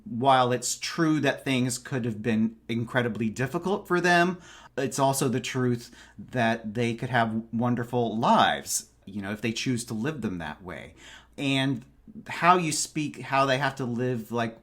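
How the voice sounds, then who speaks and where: A man speaking as a podcast guest in a casual book discussion, with thoughtful, measured phrasing. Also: medium pitch, lively intonation, a bit bright.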